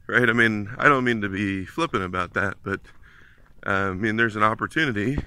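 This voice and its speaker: A man's voice: on the low side, a little fast, sharp tone, clean tone, no room sound. A man, telling stories in a casual, earnest way as he walks and films himself outdoors on a suburban street. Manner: conversational, earnest, storytelling. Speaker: ministry vlogger. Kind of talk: casual walking vlog. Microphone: phone at arm's length while walking.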